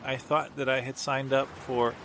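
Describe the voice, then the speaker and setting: Guy as a meek polite passenger, flat apologetic mumbly complaint, faint noise behind. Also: mid-range voice, moderate pace, natural voice. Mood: meek, worried. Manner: hesitant, apologetic. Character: timid, polite cruise passenger making a complaint. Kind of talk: improvised audio comedy.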